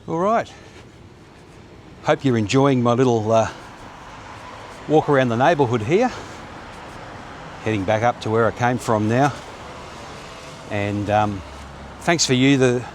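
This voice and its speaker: A man's voice: mid-range voice, measured pace, pauses often, slight background noise. A man in his fifties vlogging while he walks down a suburban street, chatting casually with lots of fillers, recorded on a camera held at arm's length. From Australia. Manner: rambling, chatty, with many fillers. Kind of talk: walk-and-talk vlog. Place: quiet suburban street outdoors, sunny. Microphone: phone or action camera held at arm's length.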